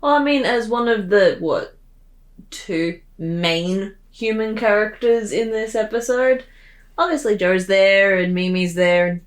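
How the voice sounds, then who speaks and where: A woman co-hosts a creator commentary as one of its voice actors. She chats casually at a slow pace, with a mid-range pitch. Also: reverberant.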